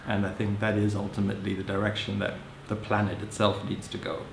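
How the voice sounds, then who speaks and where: A man in his thirties who grew up in Hong Kong, speaking as an interviewee in a thoughtful, musing way, often adding "you know" and soft laughs. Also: on the low side, monotone, a little fast, vocal fry, noisy background. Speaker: interviewee, social anthropologist. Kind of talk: reflective personal account. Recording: roomy echo.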